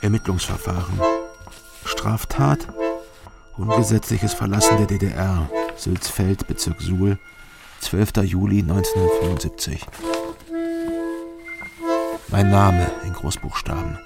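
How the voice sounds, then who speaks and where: An actor playing a weary, brooding detective who narrates a radio crime drama in terse, melancholy phrases with a gravelly voice. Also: male voice, deep voice, steady tone, a little slow, pauses often. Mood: brooding, bleak. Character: weary, brooding ex-border-guard detective narrating his story. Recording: loud music behind.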